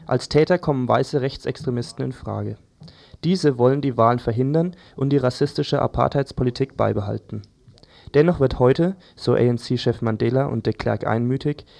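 A man's voice: medium pitch, vocal fry, darkish tone. A man reading a scripted news overview as a presenter.

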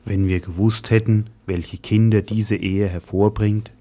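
Low, dark-voiced solemn man, acted for radio. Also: steady tone, a little slow. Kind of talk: scripted quotes in a radio commentary.

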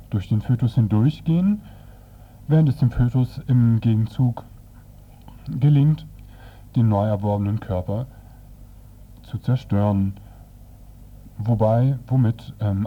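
A man presenting on radio, retelling a comic's story in a dry, hesitant, semi-improvised way with a husky voice. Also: lowish voice. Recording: dull, lo-fi radio recording.

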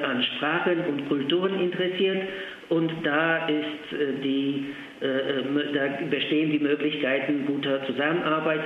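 A man's voice: on the high side, a little hiss or hum. Man on an echoey phone line. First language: Polish. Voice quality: halting, many filled pauses. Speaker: interview guest, language centre official. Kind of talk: phone interview. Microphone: telephone.

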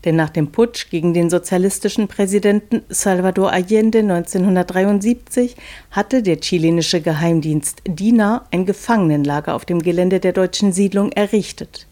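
The low, velvety woman's voice that carries a radio documentary from one interview clip to the next. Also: normal speed, dark tone. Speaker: radio reporter and narrator. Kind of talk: scripted documentary narration.

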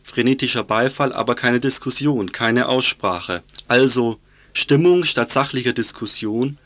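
A man's voice: mid-range voice, a little slow, a bit bright. A man working as a radio journalist, reading a scripted political report and putting interview questions in a studio.

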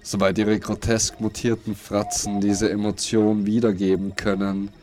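A man reading scripted narration for an audio play in a deliberate, brooding, dramatic way, with pauses. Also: on the low side, level tone, darkish tone. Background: music and noise loops under the voice.

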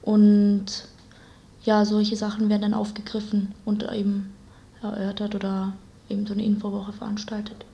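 A woman's voice: mid-range voice, monotone, moderate pace, vocal fry, dark tone, dull audio. A young woman answering as an interview guest in a casual, spontaneous way. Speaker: interview guest, student activist.

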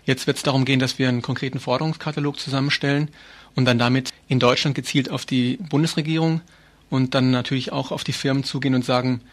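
A man's voice: mid-range voice, steady tone, fast talker. A man being interviewed as an activist, explaining things earnestly and informally with hesitant phrasing.

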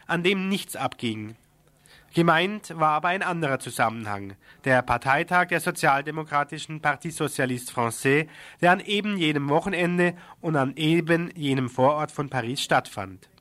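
Man, radio news reader, fairly high voice, dry close studio sound, scripted delivery with an ironic edge. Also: a bit bright, no echo. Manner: critical, ironic commentary in the news copy.

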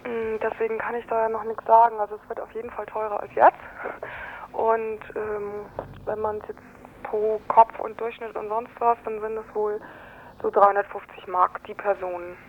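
Female interviewee, informal and hesitant, little pitch movement, muffled telephone sound with some noise. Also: little pitch movement, moderate pace. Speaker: interviewed resident of a housing project. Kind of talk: spontaneous phone interview. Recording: muffled noisy telephone line.

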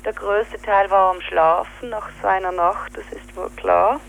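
A woman with a Swiss German accent, telling in an interview what happened, halting with fillers and searching for words. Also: mid-range voice, steady tone, gravelly, slight background noise, poor audio. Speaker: activist interviewee. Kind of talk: spoken account in an interview.

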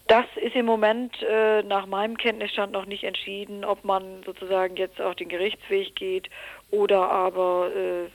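A woman's voice: mid-range voice, little pitch movement, moderate pace, no echo, poor audio. A woman answering as an expert guest in an interview, in long qualified sentences with searching, hesitant phrasing.

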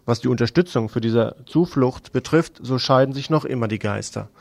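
A man's voice: medium pitch, moderate pace, vocal fry, darkish tone, no room sound. A man presenting radio news, reading a scripted report.

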